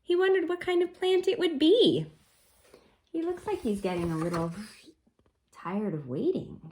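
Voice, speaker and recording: Animated woman reading a picture book to children. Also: high-pitched. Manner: animated, coaxing, pausing to comment and wonder. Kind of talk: expressive read-aloud for children with chatty asides.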